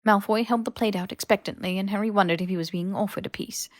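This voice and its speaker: A woman's voice: mid-range voice, fairly even intonation, fast talker, dry recording. Dry-recorded woman narrating fiction. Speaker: audiobook narrator.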